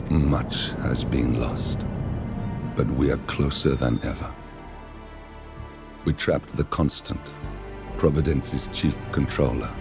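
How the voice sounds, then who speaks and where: An actor playing a brooding male rebel operative, delivering a deliberate, solemn monologue in a game cutscene. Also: extremely low pitch, gravelly, exaggerated voice. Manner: slow, brooding, deliberate phrasing. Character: grave male rebel operative vowing to topple a secret organization. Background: loud dramatic music.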